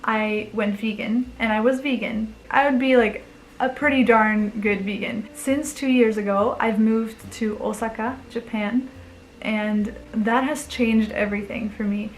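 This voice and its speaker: A woman's voice: a little high, fairly even intonation, measured pace, faint music behind, low-quality recording. A young woman from Belgium talking earnestly to her camera in a rambling, casual way, full of likes and restarts, with nervous little laughs. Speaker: vlogger. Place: home in Osaka, talking to a camera.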